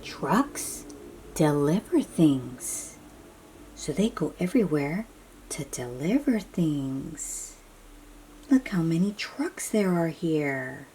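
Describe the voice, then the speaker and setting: Slow, low-voiced woman reading to children. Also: very animated, mellow, a little hiss or hum. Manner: addresses young listeners with questions and simple explanations. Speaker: children's read-aloud host. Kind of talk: picture-book read-aloud for young children.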